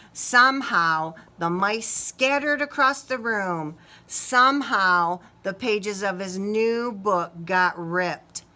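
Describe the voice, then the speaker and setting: A woman reads a picture book aloud for small children. Her voice is bright and she takes her time, telling it expressively and turning to ask her young listeners questions. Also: medium pitch. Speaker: children's story reader.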